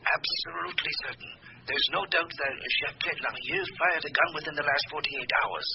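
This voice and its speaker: A muffled old radio drama recording with light noise. a police subordinate, fairly high in pitch, clipped and emphatic as he reports. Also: fairly even intonation, moderate pace, vocal fry. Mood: urgent, businesslike. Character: businesslike male police subordinate reporting to his chief.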